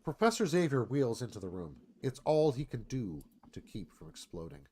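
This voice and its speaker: A man's voice: mid-range voice, big pitch swings, normal speed, a little mellow, breathy edge. A man narrating scripted scene directions as the narrator of a radio theatre read.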